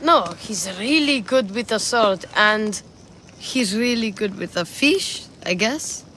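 A woman voicing a curious, cheeky young boy in a video game, excitable and boastful. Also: mid-range voice, slow, slight background noise. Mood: chatty.

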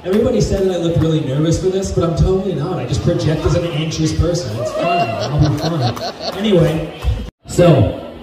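A man's voice: on the high side, wildly swooping pitch, a little fast. A nervous man giving a short wedding toast, laughing at his own shaking. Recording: echoey and distant, loud crowd and noise.

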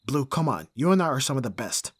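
A man's voice: mid-range voice, sing-song, fast talker, breathy edge, dry recording, clean studio sound. A man playing an abrasive but devoted bodyguard in an audio roleplay, intense and given to sighing and swearing. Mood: pleading. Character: abrasive male bodyguard, desperate and devoted to his client.

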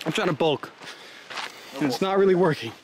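Young man, out-of-breath hiking vlogger, chatty and joking, slightly high-pitched, handheld camera outdoors with clear background noise. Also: expressive, fairly quick. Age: young adult. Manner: chatty, joking, rambling with fillers. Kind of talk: casual vlog while hiking. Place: wooded trail outdoors. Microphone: handheld camera at arm's length.

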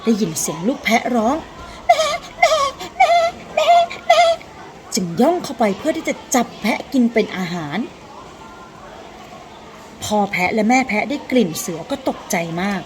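A woman narrating a children's tale as a storyteller, in an expressive, deliberate, dramatic scripted style. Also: a little high, a little hiss or hum.

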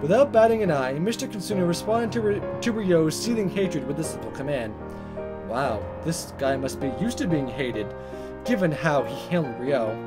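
A man reading visual novel text aloud as a let's player, in expressive narration. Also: fairly high pitch, normal speed. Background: game music.

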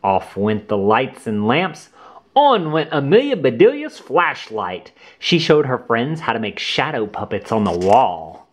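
A man reading a children's storybook aloud as host, in an animated, deliberate way for young listeners. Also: mid-range voice. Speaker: children's book reader and channel host.

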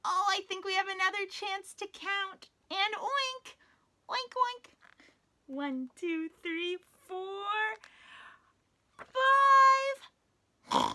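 A young woman as a children's librarian reading a picture book aloud at story time, animated and sing-song, with playful animal noises. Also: very high voice, drawn-out words, long pauses. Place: small indoor room, wood-panelled.

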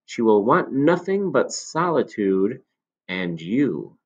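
Guy putting on a sly old potion dealer, higher and louder than his own voice, swoops around a lot, dull old recording. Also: sing-song, full character voice. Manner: smooth, insinuating, drawn-out persuasion. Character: sly elderly male potion seller.

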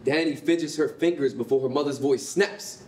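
A woman's voice: normal speed, darkish tone. A woman narrating a staged reading as scripted stage directions. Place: concrete rehearsal hall, live staged reading. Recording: roomy, distant mic, noisy rumble.

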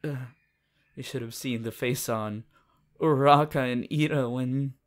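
Romantic roleplay lead: bashful, devoted teen boy hero played by a man, mid-pitched, unhurried, stammering delivery. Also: fairly even intonation, lightly acted. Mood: sheepish, flustered. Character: shy, devoted, flustered teenage boy hero. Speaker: voice actor. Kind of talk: romantic roleplay audio, intimate fan performance.

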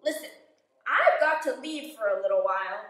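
A friendly storybook bus driver played by a woman gives emphatic instructions. The delivery is lively and high for the part, in a strongly echoing room. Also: moderate pace. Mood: brisk, instructing. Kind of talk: children's picture-book read-aloud. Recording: echoey classroom.

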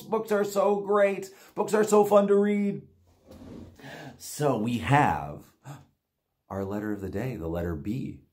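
A man in his forties reading aloud to young children as a storytime host, with an exaggerated, playful, sing-song delivery. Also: medium pitch. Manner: animated, exaggerated, sing-song repetition with gasps. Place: small plain room indoors.

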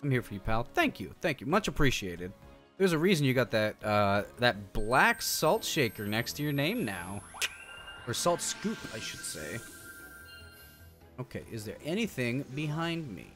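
A man streams a video game with lively, swinging intonation. He talks casually and thinks aloud in chatty slang. Music plays behind his voice. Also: medium pitch, normal speed. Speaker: streamer, show host.

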